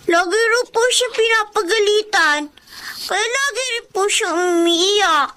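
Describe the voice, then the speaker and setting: A woman's voice: extremely high pitch, a little slow, bright, forceful tone, exaggerated voice. A woman playing a worried, earnest young child in a Filipino melodrama, with a polite, plaintive delivery. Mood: sad, pleading. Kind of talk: Filipino radio-style melodrama acting.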